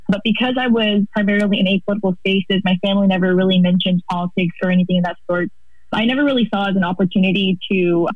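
A woman's voice: mid-range voice, no room sound. A young woman from California's Central Valley, a student guest who introduces herself earnestly and rambles a little. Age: young adult. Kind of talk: personal introduction on a call-in. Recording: tinny phone line.

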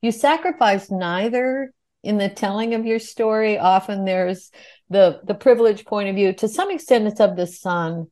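A woman's voice: mid-range voice, moderate pace, darkish tone. Articulate woman interviewer. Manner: articulate, long reflective sentences. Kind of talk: thoughtful interview questions.

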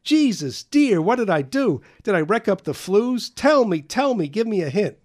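Man doing a breathless, excitable young man; pitched well up, loud, quick bursts. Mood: excited. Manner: excited, rapid-fire exclaiming.

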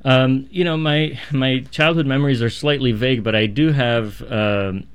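A man in his thirties from Iran and Pennsylvania, answering interview questions casually and haltingly in a radio studio. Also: mid-range voice, clear, resonant tone. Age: 30s. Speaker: radio interview guest, physician. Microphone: studio microphone.

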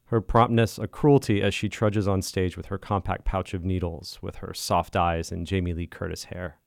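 A man reading his own personal literary essay aloud in a measured, deliberate way. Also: low voice, flat delivery, creaky, dry recording, studio quality. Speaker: listener contributor reading own writing.

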